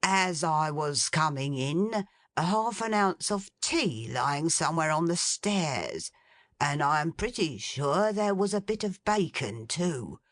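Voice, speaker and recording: A woman reading the part of Trotty, an excitable, fond old man, in a lowered, bustling voice. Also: takes it slowly, no echo.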